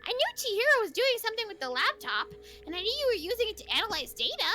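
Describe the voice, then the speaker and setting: Shrill, gleefully cruel robot bear mascot. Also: female voice, sing-song, rapid-fire. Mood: smug glee. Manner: taunting, sing-song, theatrical exclamations. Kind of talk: fan voice-over of a visual novel game. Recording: game music behind the voice.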